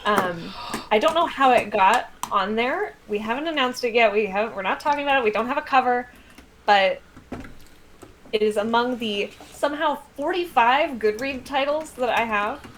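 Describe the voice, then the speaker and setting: American woman, guest author, higher pitch, animated self-deprecating casual talk, some background noise. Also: normal speed, rough recording. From United States. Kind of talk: casual livestream chat.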